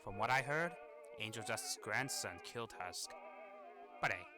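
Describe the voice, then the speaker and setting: A man's voice: on the low side, expressive, measured pace. A man voicing a weary, irritable, sardonic demon hotel resident in a fan-made animation monologue, with grumbling, exasperated delivery. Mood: matter-of-fact. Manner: grumbling, exasperated, sighing asides. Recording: voice over old phonograph music.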